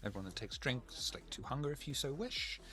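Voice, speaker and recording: A man narrating as game master of a tabletop game, joking and expressive. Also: mid-range voice, fairly quick, faint music behind.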